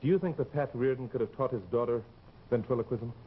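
A man's voice: mid-range voice, quick pace, natural voice. An actor in his thirties playing an earnest fiancé, urgent and reassuring, in early television drama style. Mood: polite, probing. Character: earnest young fiancé pleading with troubled beloved. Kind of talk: 1950s live television drama acting. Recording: dull, noisy old television soundtrack.

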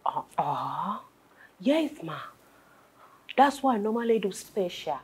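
A woman's voice: medium pitch, big pitch swings, slow, darkish tone, husky, full character voice. An actress playing a polite young female cook with a Nigerian Yoruba accent who answers everyone with "ma" and "sir". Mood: deferential. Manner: deferential, says "ma" and "sir" often. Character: young woman, new house cook, humble and polite. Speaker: house cook. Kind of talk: scripted web drama.

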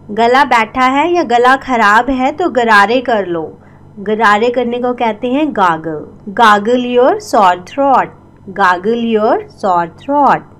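Slow, repeating Indian teacher woman. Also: a little high. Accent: Indian English. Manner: repeats each English sentence slowly twice. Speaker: English teacher. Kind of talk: slow bilingual language lesson.

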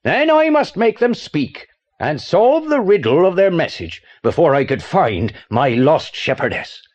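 Excitable British male art dealer, middle-aged, high voice that swoops wildly, theatrical audio drama style. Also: measured pace, lo-fi sound. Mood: frustrated. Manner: excitable, theatrical, well-spoken. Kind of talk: audio play acting for children.

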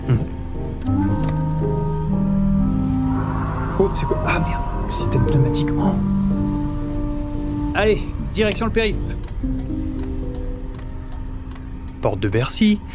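An actor playing a weary, grumbling clerk who mutters colloquially to himself in a naturalistic audio drama. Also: male voice, a little high, sing-song, moderate pace, over loud music. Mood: hurried, grumbling. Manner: muttering to himself and his cat, exasperated, colloquial. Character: weary, grumbling young male notary clerk on the road.

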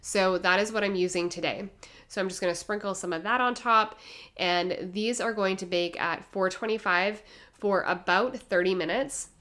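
A young woman giving a gentle, measured voice-over as she explains her home cooking step by step. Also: medium pitch. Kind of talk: calm instructional voice-over.

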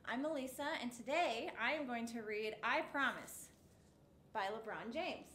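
Bright, high-voiced woman reading a storybook. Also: normal speed. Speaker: storytime host reading a picture book. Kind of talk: read-aloud children's storytime. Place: indoor set with backdrop, seated. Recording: roomy echo, faint background noise.

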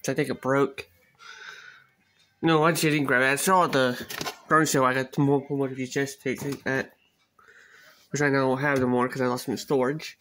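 A man chatting casually in a home video, halting and repeating himself as he shows off a collection. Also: mid-range voice, level tone, fairly bright tone. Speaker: cassette collector showing a collection. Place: small bedroom, at a closet, indoors. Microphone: handheld phone camera, speaker behind it. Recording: noisy handheld home recording, clicks.

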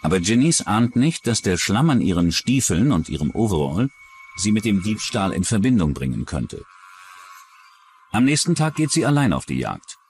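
A man narrating a scripted true-crime documentary in a measured, dramatic storytelling manner. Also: on the low side, big pitch swings, a little mellow, slight background noise.

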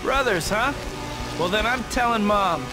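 Casting: young game superhero, high voice, flippant, cocky, good-hearted, loud music underneath. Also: male voice, lively intonation, a little slow, poor audio. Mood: taunting. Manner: flippant, quippy. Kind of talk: video game cutscene acting.

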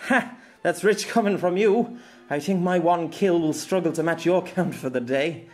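A man's voice: high voice, moderate pace, faint music behind, pitched above the performer's own voice, natural voice. A battered young woman warrior, voiced by a man who lifts his voice well above his own. She teases faintly through her injuries, her words thinning out in a storybook reading. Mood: wry, fading.

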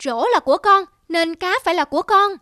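A woman's voice: very high voice, fairly quick, pushed and bright, no room sound, clean studio sound. A woman voicing a cheeky, stubborn young village boy in a cartoon, whining and pleading. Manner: whiny, pleading.

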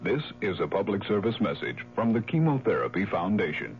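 A male announcer reads a vintage radio appeal with emphatic, measured delivery. His low voice moves up and down in a lively way, over a muffled recording. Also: loud music behind the voice. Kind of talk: scripted 1970s radio public service announcement. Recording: muffled old radio broadcast, faint hum.

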